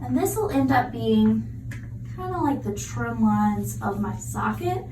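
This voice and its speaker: A woman's voice: a little high, animated, a little slow, warm tone, a little hiss or hum. A woman prosthetist explaining a clinical procedure step by step as she demonstrates it. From United States. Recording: echoey room, mic from far off.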